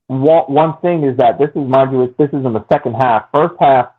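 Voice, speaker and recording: A man's voice: medium pitch, moderate pace. A man co-hosting a soccer podcast, breaking down the play in a thoughtful, repetitive way. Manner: thoughtful, repeats phrases for emphasis. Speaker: podcast co-host. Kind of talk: casual soccer analysis chat. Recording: tinny phone-like line.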